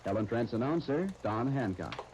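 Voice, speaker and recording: A man announcing on 1930s radio, reading narration and sponsor copy in a polished, dramatic, deliberate style. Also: medium pitch, expressive, a little mellow, lo-fi sound. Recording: old 1939 radio disc with faint crackle.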